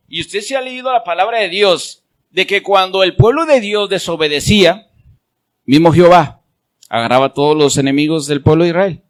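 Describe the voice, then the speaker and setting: A man preaching a sermon with a Mexican Spanish accent, rising to loud emphatic peaks and dropping to soft low asides as he acts out prayers. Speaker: pastor.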